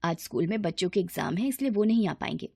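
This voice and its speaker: A woman's voice: medium pitch, expressive, fast talker, gravelly, a little mellow, breathy edge, no room sound. A woman voicing a humble middle-aged mother in a moral story, meek and apologetic in manner.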